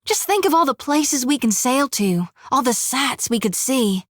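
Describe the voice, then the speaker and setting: A woman's voice: high-pitched, lively intonation, a little slow, no room sound, studio quality. An actress voicing a devout, earnest young woman priest who travels as a companion, speaking in a folksy drawl. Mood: cheerful. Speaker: game companion character. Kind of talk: video game voice acting.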